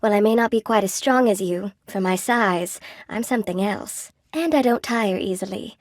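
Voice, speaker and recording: Video game role: female actor as lonely spider-woman seamstress, tender and eager to please, courteous old-world phrasing, medium pitch, dry close sound. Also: moderate pace, no echo, lightly acted. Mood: soft, reflective. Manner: polite, sweetly attentive, old-fashioned genteel phrasing. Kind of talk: video game character voice acting.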